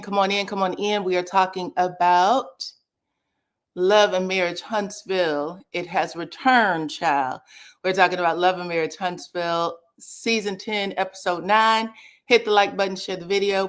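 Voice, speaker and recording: A woman, chatting casually as she hosts a livestream recap and greets viewers by name. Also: mid-range voice. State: slightly scratchy voice. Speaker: reality TV recap livestream host.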